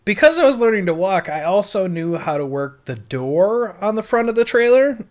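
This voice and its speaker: Higher-voiced American man telling stories casually. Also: sing-song, normal speed. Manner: informal, rambling, frequent swearing. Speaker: podcast host. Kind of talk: casual personal storytelling.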